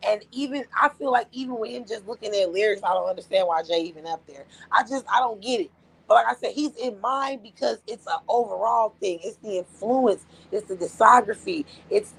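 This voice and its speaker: A woman's voice: mid-range voice, creaky, breathy edge, rough recording. A woman co-hosting a casual podcast chat, blunt and profane, losing her voice. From Las Vegas area. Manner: blunt, profane, emphatic storytelling. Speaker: podcast co-host.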